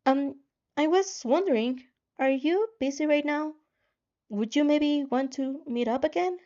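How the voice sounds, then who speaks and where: A woman's voice: high voice, unhurried, mellow, highs cut off, voice pushed up. A woman voicing a polite, eager teenage boy in a story, tentative but upbeat. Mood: hopeful.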